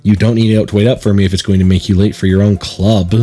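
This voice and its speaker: A man voicing a reluctant high school boy from a visual novel, dry and dismissive. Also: little pitch movement, fast, mellow, faint music behind, close to an everyday voice. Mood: flat refusal. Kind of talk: visual novel character read-aloud.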